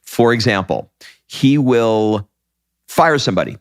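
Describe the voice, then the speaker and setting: A man with a deep voice co-hosting a podcast, telling stories with animated energy and frequent restarts. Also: a little slow, no room sound, studio quality. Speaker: podcast co-host. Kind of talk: casual podcast chat.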